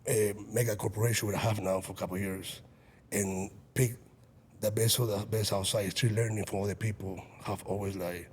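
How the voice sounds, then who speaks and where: A man's voice: lowish voice, darkish tone, slight background noise. A man in his late thirties, an executive protection agent and business owner, talking earnestly in a casual podcast chat with frequent restarts. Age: 30s.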